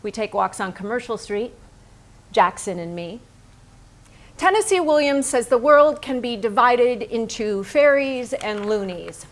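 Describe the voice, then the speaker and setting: Bitter wife for a staged play, female actor, higher-pitched, sardonic, biting, possessive. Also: lively intonation, a little slow. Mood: tense, sardonic. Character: Lee, embittered painter's wife, sharp-tongued, possessive, exasperated. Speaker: stage actress. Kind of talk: theatrical staged reading, narration mixed with dialogue.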